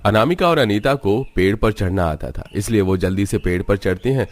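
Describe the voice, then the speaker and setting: A male narrator reads a scripted cartoon story. His voice is on the low side, in a storytelling style. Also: lively intonation, fairly quick.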